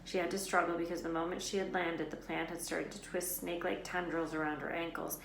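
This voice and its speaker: A woman reading a children's novel aloud as an expressive storyteller. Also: lowish voice, a little fast, creaky. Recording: roomy echo, faint background noise.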